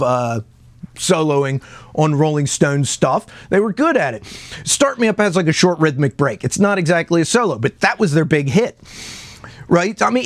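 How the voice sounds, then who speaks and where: Higher-pitched man in casual, dry-sounding commentary. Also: no room sound. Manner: conversational, repeats words and trails off searching for names. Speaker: video host commenting on music history.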